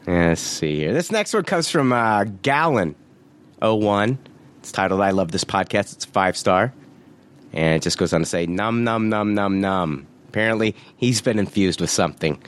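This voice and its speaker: Low-voiced laid-back male podcast host. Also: lively intonation, moderate pace, smooth. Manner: laid-back, laughs often, swears casually. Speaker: podcast host reading listener reviews. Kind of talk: casual podcast chat.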